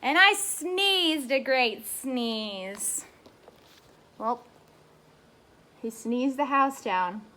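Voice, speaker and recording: A woman's voice: high-pitched, animated, very slow, long pauses, pushed and bright, slight background noise, heavily acted. A woman voicing an aggrieved, self-justifying male wolf in a children's storybook, conversational and wry. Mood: mock-innocent. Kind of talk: children's storybook read-aloud.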